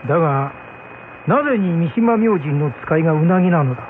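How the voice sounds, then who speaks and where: A man's voice: a little high, animated, fairly quick, close to an everyday voice. A man voicing a curious, commanding young shogun in a folk tale drama, with lordly, questioning, archaic speech. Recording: thin phone-like band with hum.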